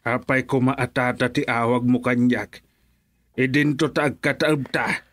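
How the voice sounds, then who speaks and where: A man acting a wronged lover, Filipino accent, medium pitch, earnest, dramatic, dry radio play sound. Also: steady tone, measured pace, fairly bright tone, no echo, natural voice. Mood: indignant.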